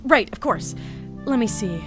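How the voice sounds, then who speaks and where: Woman playing naive excitable explorer girl, high bouncy voice, narrating a mission log, audio drama w music. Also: measured pace. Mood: focused, observing. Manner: excitable, gushing, talking through observations aloud. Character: eager, naive young explorer entity logging a new reality.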